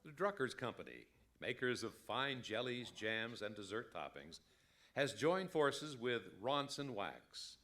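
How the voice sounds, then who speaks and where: An actor playing an enthusiastic announcer reading a mock old time radio commercial. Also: male voice, mid-range voice, very animated, unhurried, pushed and bright. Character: smooth-selling, enthusiastic male radio pitchman.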